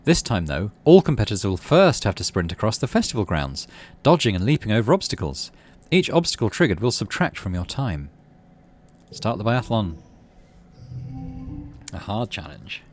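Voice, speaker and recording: A man's voice: on the low side, very animated, moderate pace. A man hosting a gaming video, reading story text expressively and adding his own casual commentary.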